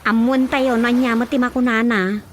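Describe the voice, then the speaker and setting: An actress playing a dutiful grown daughter in an Ilocano radio drama, deferential and matter-of-fact. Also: female voice, fairly high pitch, fairly even intonation, moderate pace, a little hiss or hum, lightly acted. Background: prominent background music.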